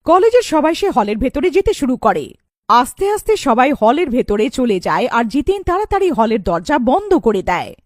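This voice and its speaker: A woman's voice: sits high, big pitch swings, normal speed, dry recording, studio quality. A woman narrating a scripted cartoon story as its storyteller.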